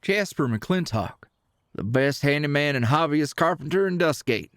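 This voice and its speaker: A man's voice: on the high side, expressive, normal speed, fairly bright tone, no room sound, clean studio sound. A man voicing a friendly, charming small-town handyman with an American Southern accent in an ASMR roleplay, folksy and chatty. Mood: friendly, cheerful.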